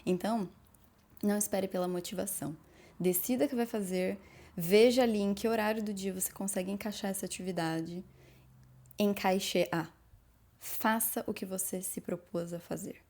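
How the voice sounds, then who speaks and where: A woman's voice: medium pitch, mellow. A woman, teaching a podcast lesson and speaking straight to the listener in an encouraging, direct way. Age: 30s. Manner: encouraging, direct, repeats phrases for emphasis. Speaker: language teacher.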